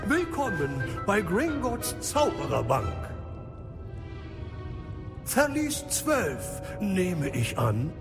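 A man's voice: high-pitched, huge pitch swings, drawn-out words, long pauses, heavily acted. A man playing a sly, formal goblin bank clerk with a sardonic manner in an audio drama. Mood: officious, wary. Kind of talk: German audio drama acting. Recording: loud music under the voice.

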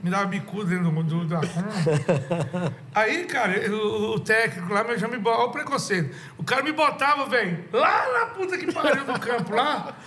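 A man, a podcast guest telling stories in a casual, animated way, laughing often and using many fillers. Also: high-pitched, moderate pace. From Brazil. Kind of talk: casual chat, storytelling.

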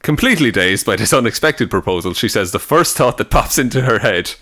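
A man's voice: mid-range voice, lively intonation, brisk, sharp tone. An Irish man co-hosting a comedy podcast, switching between mock-dramatic reading and casual, amused banter. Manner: amused, breaking into laughter as he reads.

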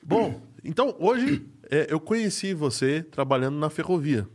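A man's voice: on the high side, very animated, moderate pace. A Brazilian man hosting a podcast in a casual, informal, joking and slangy way. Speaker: podcast host. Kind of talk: casual chat.

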